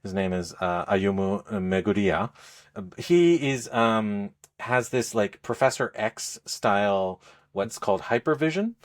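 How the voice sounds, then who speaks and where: A man speaking as a podcast co-host, explaining things in a casual, halting, conversational review style. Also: lowish voice, expressive. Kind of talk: casual game review chat.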